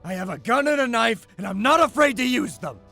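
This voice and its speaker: A man's voice: very high voice, lively intonation, a little fast, vocal fry, pushed and bright, faint music behind, exaggerated voice. A man playing a desperate, defiant criminal on the run in an audio drama reenactment. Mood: threatening.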